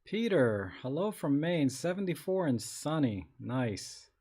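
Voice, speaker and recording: A man hosting a casual live-streamed photography Q&A, reading viewer comments aloud and answering in a rambling, relaxed way with many restarts. His voice is a little scratchy today. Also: fairly high pitch, unhurried, slightly rough. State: slightly scratchy voice.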